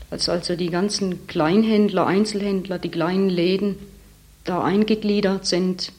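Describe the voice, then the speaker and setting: Frank young saleswoman, lower voice. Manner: frank, critical, talks with some hesitation. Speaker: department store saleswoman. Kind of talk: interview.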